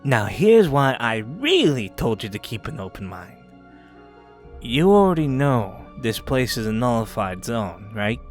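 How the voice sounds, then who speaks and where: A man's voice: mid-range voice, sing-song, measured pace. A man playing a scrappy, sly convict in a fantasy audio drama, with colloquial, flippant speech. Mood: cheeky, conspiratorial. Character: scrappy, cheeky male convict, sly schemer. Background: music.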